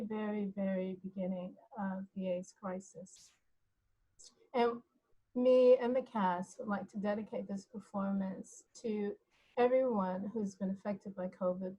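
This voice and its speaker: A woman's voice: mid-range voice, a little mellow, low-quality recording. A woman playwright introducing her play, speaking informally and chatting on a video call. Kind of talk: casual introduction. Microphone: home computer mic on a video call.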